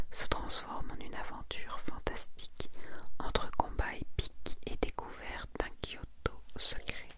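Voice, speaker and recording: A woman presenting and reading summaries in a soft, breathy whisper, as an ASMR host, with a gentle, unhurried delivery.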